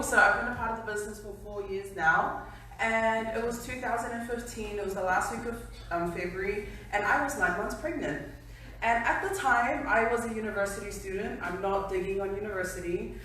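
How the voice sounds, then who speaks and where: A woman's voice: medium pitch, a bit bright. A woman in her twenties from New Zealand telling her personal story to an audience at an event, chatty and laughing at herself. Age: 20s. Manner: chatty, laughing, self-deprecating. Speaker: speaker at a company event. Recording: echoey hall from far off.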